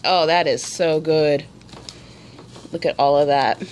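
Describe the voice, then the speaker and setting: A woman's voice: low-pitched, fairly even intonation, slow pace, darkish tone, a little hiss or hum. A woman filming a casual cooking video at home, patiently encouraging and instructing her small child step by step. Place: indoor home room at a table.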